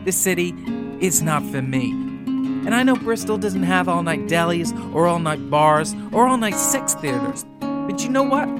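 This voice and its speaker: A man's voice: high-pitched, big pitch swings, measured pace. A man playing an earnest, lovelorn young intern in a comic radio play, speaking melodramatically, with music under him. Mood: heartfelt.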